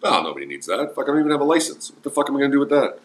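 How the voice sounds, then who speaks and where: A man's voice: mid-range voice, very animated, racing, noisy background. A man hosting a livestream, grumbling and ranting crudely with constant cursing. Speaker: livestream host. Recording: roomy echo with faint music.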